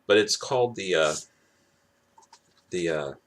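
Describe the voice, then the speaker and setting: A man's voice: sits low, lively intonation, a little slow, a bit bright. A man giving a casual, unscripted show-and-tell, hesitant and rambling, often correcting and repeating himself. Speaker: vlogger showing his weather radios. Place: indoors at home. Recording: clearly noisy home recording.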